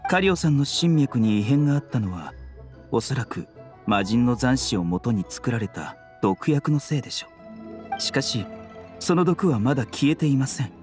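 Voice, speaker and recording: A gentle, frail doctor played by a male actor in a Japanese video game. He speaks at a medium pitch with lively intonation, always composed and courteous. Faint music sits behind the voice. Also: moderate pace, natural voice. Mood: calm explaining. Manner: soft-spoken politeness, composed.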